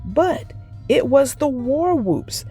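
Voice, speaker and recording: A woman narrating a children's read-aloud as storyteller, expressive and deliberate. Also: high voice, faint music behind.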